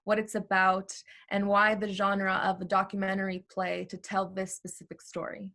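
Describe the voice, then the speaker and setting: A young woman hosts an interview with a bright, forward tone. Her pitch sits in the middle and moves little as she reads out prepared questions. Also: completely flat delivery, moderate pace. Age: young adult. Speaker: interviewer, host.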